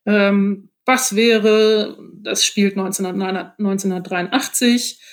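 A woman's voice: mid-range voice, fairly even intonation, slow. A woman explaining her work as a guest author in a casual, somewhat hesitant way.

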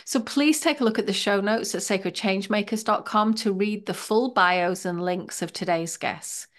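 A woman hosting a podcast, introducing the episode and welcoming guests in a measured, reflective way. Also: mid-range voice. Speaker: podcast host.

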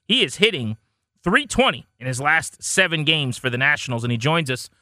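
A man's voice: a little high, sing-song, moderate pace, pushed and bright, no echo, studio quality. A man hosting a sports talk show, presenting segments and interviewing guests in an upbeat radio style.

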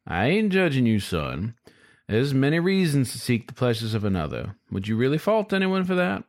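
Guy doing an old coyote taxi driver, folksy wise advice, animated pitch, audio story. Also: moderate pace, dry recording, studio quality. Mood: easygoing.

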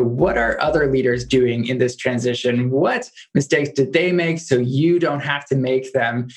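A man hosting a podcast, chatting casually and saying "like" often. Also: mid-range voice. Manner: chatty, many "like" fillers. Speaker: podcast host. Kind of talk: casual interview chat.

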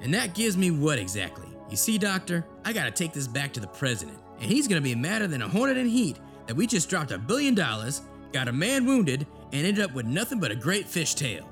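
An older senator for an audio drama, folksy and bullying. His fairly high voice rises and falls sharply as he hectors, with music underneath. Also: huge pitch swings, brisk. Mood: exasperated. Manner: hectoring, interrupting, folksy cursing.

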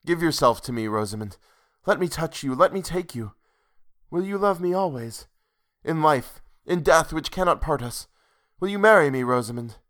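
A man's voice: fairly high pitch, expressive, normal speed, fairly bright tone, pitched above the performer's own voice. A man voicing an ardent, troubled man desperately in love, breathless, impassioned and pleading, in a story reading.

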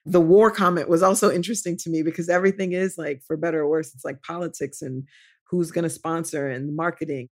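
A man talking as a guest actor in an interview, loose and animated, with lots of "like" fillers. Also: fairly high pitch, fast talker. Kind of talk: conversational interview answer.

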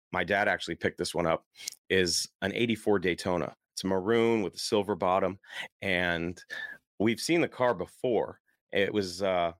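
A man with a tired, hoarse voice hosting a car show, chatting casually and easily to his listeners in a rambling, enthusiastic way. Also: low voice, fairly bright tone, no room sound, clean studio sound. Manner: easygoing, chuckles often, rambling enthusiast. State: hoarse, worn-out voice. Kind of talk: casual solo chat to listeners.

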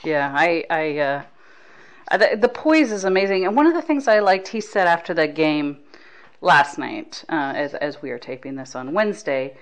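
A woman's voice: low-pitched, animated, moderate pace. An American woman hosting a conversational baseball podcast interview in a chatty, enthusiastic manner. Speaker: podcast host, baseball beat writer.

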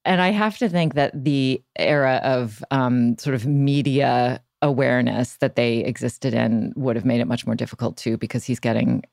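A woman with a noticeably creaky voice hosts a conversational podcast interview. Her phrasing is measured and reflective. The sound is dry and close. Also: rumbling low, a little mellow, dry recording. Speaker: podcast host.

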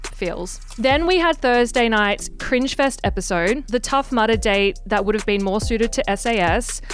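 A woman's voice: a little high, a little slow, soft background music. A young woman hosting a gossip podcast, sarcastic and irreverent, swearing freely as she mocks reality TV. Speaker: podcast host. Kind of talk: casual gossip podcast monologue.

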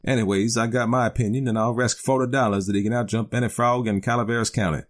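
A man's voice: medium pitch, steady tone, fast talker, lightly acted. A man voicing a boastful, easygoing frontier gambler in a storybook tale, with careless, colloquial talk. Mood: confident.

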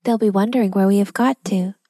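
A woman voicing a brave, kind young girl who talks politely and calmly. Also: mid-range voice, fast, no echo, studio quality, natural voice. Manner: polite and calm.

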